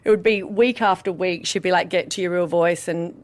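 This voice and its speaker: A woman in candid conversation, telling stories in an animated way and acting out remembered dialogue. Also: fairly low pitch, fairly quick.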